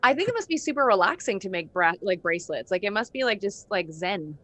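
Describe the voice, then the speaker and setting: A woman's voice: mid-range voice, sing-song, fast, a bit bright. Female interviewer, mid-range voice, casual entertainment-show hosting.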